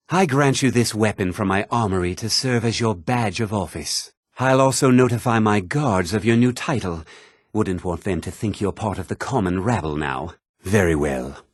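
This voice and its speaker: A man acting a haughty, condescending townsman in scripted fantasy video game dialogue, with a husky voice and a pompous, dismissive manner. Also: on the low side, no echo, studio quality. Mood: lordly, condescending.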